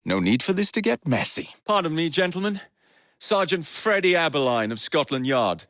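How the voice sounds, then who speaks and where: An actor voicing a cocky, wisecracking young English assassin and gang leader in a video game, flippant and sardonic. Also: male voice, on the high side, big pitch swings, moderate pace, fairly bright tone, no room sound, studio quality. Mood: brisk, purposeful. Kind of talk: video game character dialogue.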